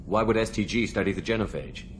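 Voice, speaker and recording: Actor as fast, low-voiced military commander. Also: male voice, natural voice. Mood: calm, questioning. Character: composed, probing military commander hero. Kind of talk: video game character dialogue. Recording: clear ambient noise behind.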